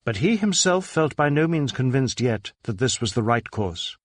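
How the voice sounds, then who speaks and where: A man's voice: medium pitch, very animated, normal speed, no room sound. A man reading a crime novel aloud as scripted narration. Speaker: audiobook narrator.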